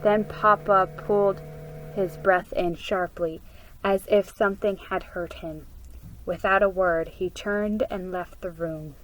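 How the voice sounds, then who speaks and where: A woman giving a dramatic, expressive reading of a children's story, with a slightly halting delivery. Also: mid-range voice, low-quality recording. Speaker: amateur story reader. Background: music under the reading.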